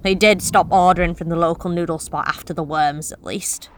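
A woman's voice: on the low side, steady tone, a little fast, slight background noise. Young woman, wry confessional narration. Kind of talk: first-person horror story reading.

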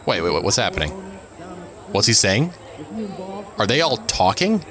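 An actor playing a cautious, hopeful police officer in an audio drama, earnest and questioning. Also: male voice, on the low side, very animated, normal speed, a crowd in the background. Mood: anxious. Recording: outdoor city noise behind. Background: urban street ambience.

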